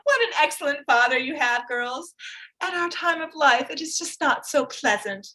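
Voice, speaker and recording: A woman acts out a middle-aged mother in a period play, fussy and excitable. Her voice sits very high. She gushes and pleads theatrically, set on seeing her daughters married. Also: normal speed, rough recording. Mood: doting, excited. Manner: gushing, effusive, pleading.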